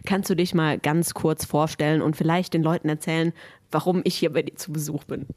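A woman's voice: low voice, steady tone, brisk, darkish tone. A woman hosting a podcast, chatting and asking friendly questions. Her voice sounds dry and close, with no room echo. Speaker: podcast host. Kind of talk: casual interview. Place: basement room.

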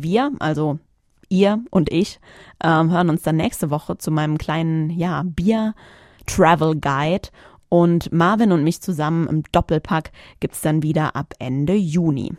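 A woman's voice: low-pitched, darkish tone, dry recording. A woman hosting a podcast alone, chatting informally in a studio and often searching for her words. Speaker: podcast host. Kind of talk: casual solo podcast announcement.

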